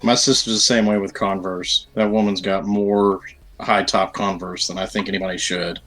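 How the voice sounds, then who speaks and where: A man, a veteran, chatting casually in a group stream and cracking dry, dark jokes. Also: low-pitched, little pitch movement, moderate pace, rough recording. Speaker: military veteran guest.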